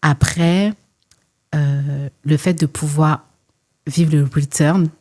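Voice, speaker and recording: A woman's voice: sits low, fairly even intonation, slow pace, mellow. A woman from France, speaking earnestly and emphatically as a guest in a conversational interview, often repeating phrases to build a point. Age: 40s. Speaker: podcast guest, entrepreneur and former social worker.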